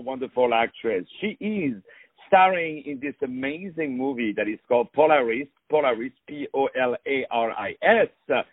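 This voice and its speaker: A man's voice: mid-range voice, no echo, narrow, tinny audio. A man hosting a radio interview, effusive and repetitive, stumbling over words in his long questions. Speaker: radio host.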